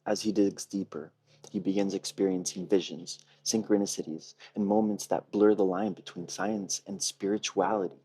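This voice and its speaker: Low-voiced man delivering a measured, slightly husky pitch. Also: fairly even intonation, mellow. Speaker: filmmaker pitching a feature film. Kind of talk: prepared pitch presentation, read narration. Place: video call, indoors.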